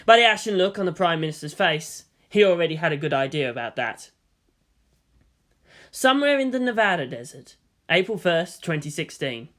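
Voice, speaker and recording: A narrator reading a story aloud in an expressive, scripted storytelling style. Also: mid-range voice, normal speed. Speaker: audiobook-style reader of fan fiction.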